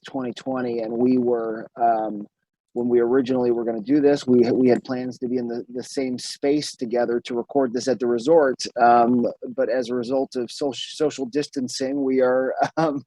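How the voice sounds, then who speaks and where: A male podcast host introducing his guest with enthusiasm, often pausing on fillers. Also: mid-range voice, little pitch movement, a little mellow, no room sound, poor audio. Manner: enthusiastic, halting, many fillers. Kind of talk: casual interview. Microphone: remote recording.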